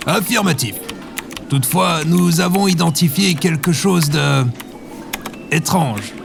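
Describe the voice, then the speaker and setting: A man's voice: fairly high pitch, slow pace, creaky, over music. A man playing a formal, hesitant spaceship crewman reporting to a superior in a science fiction audio drama. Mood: nervous, formal. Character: formal, hesitant male spaceship crew subordinate.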